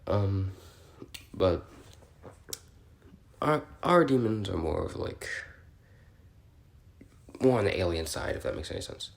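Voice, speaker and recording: A man's voice: low voice, big pitch swings, slow pace, vocal fry, pauses often, heavily acted. A man playing an awkward, curious, bookish researcher recording an audio log, explaining in a hesitant, rambling, politely apologetic way. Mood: hesitant, explanatory. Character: male researcher logging species notes, awkward, curious, bookish. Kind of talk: in-character audio log, semi-improvised explaining.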